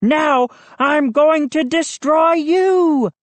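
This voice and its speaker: A woman's voice: high voice, drawn-out words, no echo, voice pushed up. A woman voicing a big, rowdy, boastful boy who bellows and chants in an audiobook. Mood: rowdy, demanding. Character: big wild rowdy boy, boastful bully-ish pal. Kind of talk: audiobook character voice.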